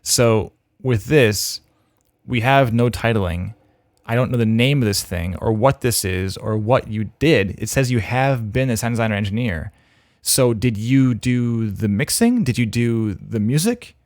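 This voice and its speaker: A man's voice: mid-range voice, expressive, unhurried, darkish tone, no room sound. A man hosting a livestream, casually thinking aloud as he gives frank critique of demo reels on a close mic. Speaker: host reviewing demo reels.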